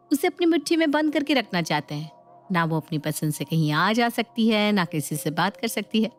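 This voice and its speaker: A woman's voice: fairly high pitch, lightly acted. A woman voicing a naive, lovestruck young married woman, coy and emotive, in a dramatized moral story. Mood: wistful.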